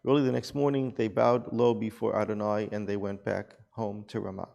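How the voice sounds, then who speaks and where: A man in a class reading a scripture passage aloud in a plain, measured way. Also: on the low side, level tone, vocal fry, darkish tone. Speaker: class participant reading text.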